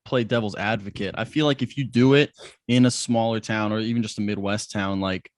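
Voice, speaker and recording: A man's voice: on the low side, fairly even intonation, a little fast, no echo, studio quality. Chatty young man hosting a podcast. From Indiana. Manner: chatty, self-deprecating, many restarts. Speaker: podcast host. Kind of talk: casual interview chat.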